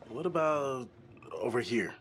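A man's voice: mid-range voice, animated, unhurried, slight background noise, close to an everyday voice. Man playing a casual, confident young diver. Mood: assured. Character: easygoing, confident young man on a diving trip. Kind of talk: video game cutscene acting.

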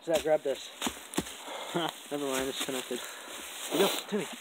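Very noisy outdoor audio picks up a man away from the mic. His voice is fairly high, and he casually tells fellow campers what to do. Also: normal speed. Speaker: camper directing others gathering sticks. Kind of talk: casual chat, giving instructions. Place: outdoors in woods at night.

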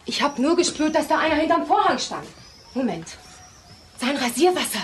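A shaken female witness in a crime radio play. Her high, very animated voice is agitated and emphatic, with faint noise behind it. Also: moderate pace. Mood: intent recall. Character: shaken woman, witness who survived an attack.

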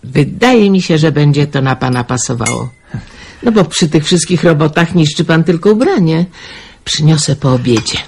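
An actress playing a warm, wistful middle-aged countrywoman who runs a wartime household in a radio drama. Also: low voice, expressive, normal speed, slight background noise. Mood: friendly, practical. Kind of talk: Polish radio drama acting.

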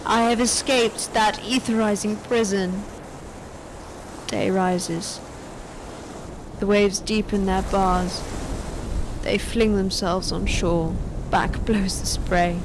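An actress playing a weary yet defiant elderly woman, delivering a measured, poetic monologue in a literary radio drama. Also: mid-range voice, fairly even intonation, background noise, lightly acted. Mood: reflective.

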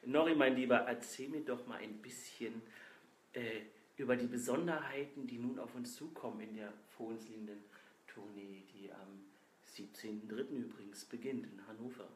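A man's voice: mid-range voice, moderate pace. Man with a cold hosting a joking stream. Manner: joking, mock-formal. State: has a cold. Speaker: stream host and band member. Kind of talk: casual chat on a live stream. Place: small indoor room, seated on a sofa. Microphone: camera a few feet away. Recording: roomy with faint noise.

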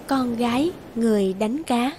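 A woman's voice: a little high, unhurried, dark tone, a little hiss or hum. A woman reading scripted fairy tale narration as a storyteller.

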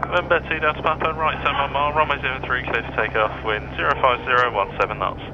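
Fast-talking male controller on radio. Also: mid-range voice, steady tone, dull audio, background noise. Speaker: air traffic controller. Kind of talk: clipped procedural radio instructions. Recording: aviation radio over background sound.

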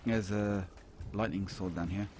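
A man's voice: lowish voice, a little slow, a little hiss or hum. A man casually chatting and joking while playing a co-op game with a friend. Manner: playful, jokey, lively exclamations. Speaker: gaming channel host. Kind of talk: casual co-op gameplay chat.

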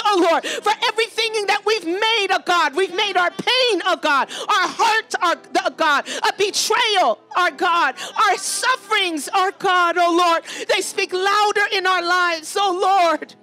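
A woman's voice: very high voice, sharp tone. A woman preacher leading fervent charismatic prayer, urgent and pleading, exhorting with repeated phrases.

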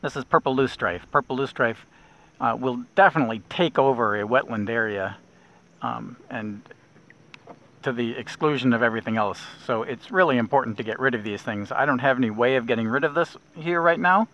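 A middle-aged man guiding a kayak nature trip, explaining plants in a relaxed way with small restarts, recorded outdoors on a lake. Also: mid-range voice, fairly quick. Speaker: naturalist guide. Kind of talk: outdoor nature walk narration. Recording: dull lo-fi outdoor audio.

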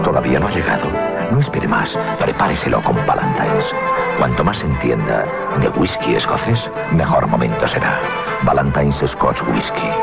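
A man with an extremely deep, gravelly voice reads radio adverts with animated delivery. Loud music plays behind him on a dull vintage recording. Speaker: advertisement announcer.

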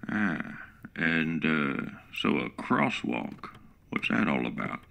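A man's voice: very deep voice, big pitch swings, slow pace, gravelly, a bit bright, a little hiss or hum, full character voice. A man playing a drawling country smart speaker. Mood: folksy. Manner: drawling, folksy, calls people partner. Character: folksy male smart-home assistant with a country drawl. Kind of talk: comedic radio play acting.

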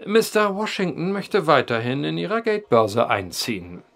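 An actor playing an insistent inquiring gentleman in a radio drama, dryly witty and precise. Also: a little high, big pitch swings, moderate pace, vocal fry, soft background music, exaggerated voice. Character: dry, insistent, well-informed inquiring gentleman.

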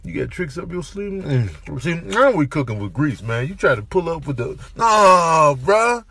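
A man's voice: on the high side, very animated, a little slow, vocal fry, pushed and bright, slight background noise, full character voice. A man voicing a picky, indignant dog with street swagger and slangy refusals in a comic animal voiceover.

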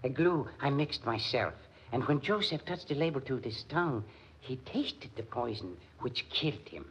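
An actor with a German accent playing a lovesick, deranged musician turned murderer, sinisterly tender and theatrical in 1940s radio style. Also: male voice, mid-range voice, animated, normal speed, a bit bright, lightly acted. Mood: gloating. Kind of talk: 1940s radio drama acting. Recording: muffled 1940s radio recording, faint noise.

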